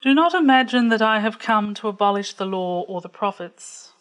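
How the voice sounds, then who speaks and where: A woman reading a gospel text aloud in a measured, clearly phrased way. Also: mid-range voice, lively intonation. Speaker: scripture reader.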